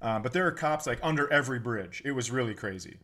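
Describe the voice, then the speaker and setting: A man's voice: mid-range voice, animated, quick pace, pushed and bright. American man, joking podcast comedian. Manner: profane, joking. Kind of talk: casual comedic podcast chat.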